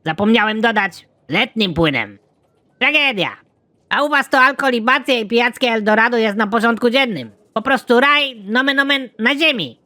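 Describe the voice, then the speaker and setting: A performer playing a talkative, crude alien defector in an animated comedy, explaining things in a matter-of-fact way. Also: medium pitch, normal speed. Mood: ranting.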